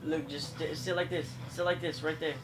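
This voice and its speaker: A man with a voice worn from yelling, giving quick calls and swearing while playing a co-op shooter. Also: on the high side, level tone. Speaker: co-op gaming partner. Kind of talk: casual gaming chat. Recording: noisy room recording.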